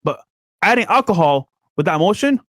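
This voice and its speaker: A man of about thirty co-hosts a podcast in an animated, casual voice and slips into quoted lines as he tells his points. His pitch sits a bit high. The sound is dry and close to the mic. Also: fairly quick, no echo. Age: 30s. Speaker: podcast co-host.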